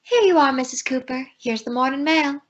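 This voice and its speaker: A woman's voice: high voice, brisk, close to an everyday voice. A woman playing a dutiful, sympathetic household maid in an old-time radio sitcom, deferential and comically concerned. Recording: noisy, dull old radio recording.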